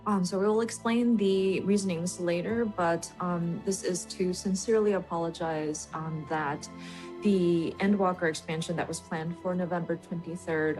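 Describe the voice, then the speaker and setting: A woman's voice: on the low side, a little mellow, poor audio. A woman interpreting a formal announcement in a hesitant, apologetic manner. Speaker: live interpreter.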